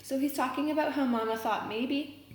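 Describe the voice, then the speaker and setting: A woman reading a children's novel aloud, pausing to explain words as a teacher would. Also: high voice. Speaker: read-aloud reader and teacher. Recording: roomy echo, noticeable background noise.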